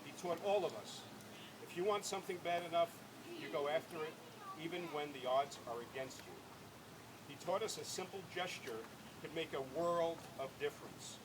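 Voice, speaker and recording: Man reading a tribute speech over loud noise. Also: fairly high pitch, normal speed. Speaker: speaker reading prepared remarks at a memorial dedication. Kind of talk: speech read aloud at an outdoor ceremony. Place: outdoors at a ball field. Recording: loud outdoor ambient and vehicle noise.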